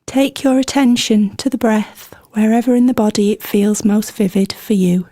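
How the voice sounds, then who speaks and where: A woman guides a meditation with a relaxed, warm and mellow voice. She speaks gently and soothingly, leaving unhurried pauses between her instructions. The sound is very dry, with no sense of the room around her. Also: mid-range voice, no room sound. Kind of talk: guided body scan meditation, scripted instruction.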